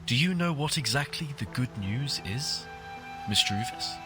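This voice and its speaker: A man's voice: medium pitch, expressive, a little slow, natural voice. Man acting erudite talking apple, game companion, polite, refined, very varied intonation, music behind. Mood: curious, analytical. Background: background music.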